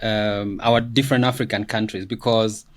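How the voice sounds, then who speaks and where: Measured man sharing reflections. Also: on the low side, fairly even intonation, slight background noise. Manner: measured, thoughtful, fillers like "you know". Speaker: guest commentator. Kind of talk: reflective conversation.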